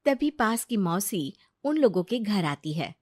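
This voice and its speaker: A woman's voice: medium pitch, big pitch swings, unhurried, darkish tone. A woman reading scripted storybook narration for a family tale.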